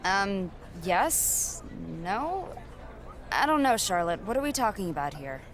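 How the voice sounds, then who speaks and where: A woman's voice: mid-range voice, big pitch swings, unhurried. A woman playing an ambitious, self-assured female wrestler in a video game cutscene, with a dry, cocky manner. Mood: puzzled.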